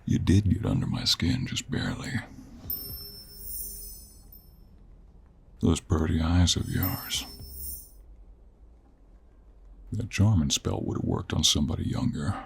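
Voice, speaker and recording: A man playing a gruff, teasing cowboy monster hunter in an intimate audio roleplay, with a gravelly, husky voice and an American Western drawl. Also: extremely low pitch, sing-song, slight background noise, full character voice. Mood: smug. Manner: slow, teasing drawl with folksy endearments.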